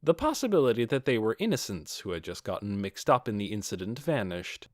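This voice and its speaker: A man's voice: fairly low pitch, big pitch swings, brisk, darkish tone, no echo, studio quality. A man reading scripted fantasy narration as an audiobook. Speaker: audiobook reader.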